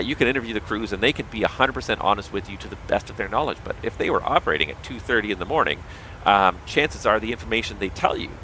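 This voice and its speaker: Male streamer, pilot; low voice, quick delivery, strained and tiring, explanatory and opinionated. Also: pushed and bright, slight background noise, low-quality recording. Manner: explanatory, opinionated, talks in long running thoughts. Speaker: livestreamer and pilot. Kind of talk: casual livestream commentary.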